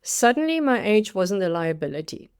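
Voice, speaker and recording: A woman's voice: medium pitch, sing-song, moderate pace, darkish tone, clear, resonant tone. South African woman, 60s, career coach delivering a dramatic scripted personal story. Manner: dramatic storytelling with acted-out quotes.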